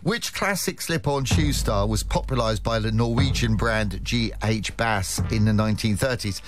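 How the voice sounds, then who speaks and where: A man's voice: on the low side, normal speed, over music. A male radio presenter running a listener quiz, chatty and self-deprecating, laughing often. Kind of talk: local radio quiz chat.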